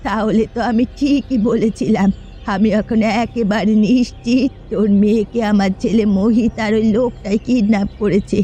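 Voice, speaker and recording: A woman's voice: a little high, fairly even intonation, moderate pace, mellow, lightly acted. A woman voicing a stern but reassuring old village aunt in a Bengali cartoon. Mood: certain, stern. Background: background music.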